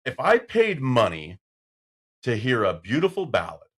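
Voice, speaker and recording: A man with a deep, carrying voice hosting a casual podcast chat, telling stories and getting loud when excited. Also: measured pace, breathy edge. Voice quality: deep voice that carries. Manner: loud when excited, storytelling with acted-out quotes. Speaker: podcast host.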